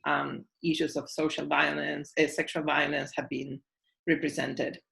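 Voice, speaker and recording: A woman professor speaks over a video call in a measured, unhurried way, pausing on fillers. Her voice has a gravelly edge yet a bright tone. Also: sits low, flat delivery, rough recording. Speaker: guest professor. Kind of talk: casual interview talk.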